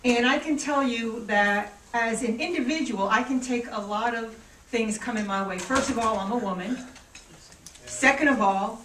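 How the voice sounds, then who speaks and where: A woman's voice: on the high side, normal speed, fairly bright tone. A woman giving an emphatic statement as a panelist at a press conference. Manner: emphatic, declarative. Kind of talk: public statement. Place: press club conference room. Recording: echoey room, distant mic, faint noise.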